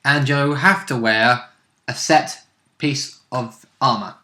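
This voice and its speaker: A man's voice: mid-range voice, slow pace, sharp tone. A man chatting casually as guest commentator and player in a role-play game, agreeably chipping in.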